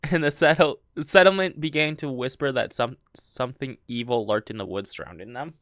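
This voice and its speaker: A woman's voice: a bass voice, fairly quick, fairly bright tone, no echo, clean studio sound. A woman hosting a true crime podcast, telling the story in a casual chat, giggling often and stumbling over her words.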